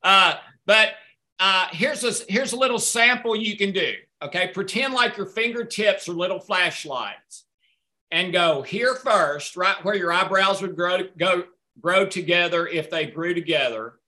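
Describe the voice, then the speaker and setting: A man's voice: sits high, measured pace, sharp tone. A man answering audience questions in a casual session, rambling a little and poking fun at himself. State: a little hard of hearing. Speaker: guest speaker answering questions.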